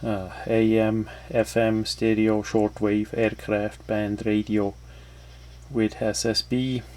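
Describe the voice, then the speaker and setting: Slow, flat-toned man reviewing a product. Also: on the low side. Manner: halting, hesitant phrasing. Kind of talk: casual unscripted product walkthrough.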